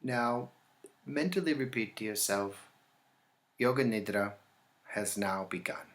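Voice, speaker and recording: Man calmly guiding a relaxation meditation. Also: fairly low pitch. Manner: calm, instructional, with deliberate pauses. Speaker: yoga nidra teacher guiding a session.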